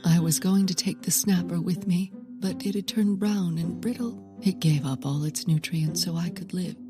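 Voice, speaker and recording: Casting: actress for intense female plant explorer, low register, melodramatic confessional delivery, comic audio drama, music underneath. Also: natural voice. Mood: solemn, weary. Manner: theatrical, confessional, dramatic pauses and hushed emphasis. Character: intense female botanist-adventurer confessing a forbidden love of plants. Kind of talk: comedic audio drama monologue.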